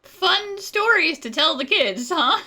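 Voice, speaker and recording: Female game streamer: playful sardonic commentary, unhurried yet lively, bright tone. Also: very high voice. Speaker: gaming streamer. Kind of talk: casual, joking playthrough commentary.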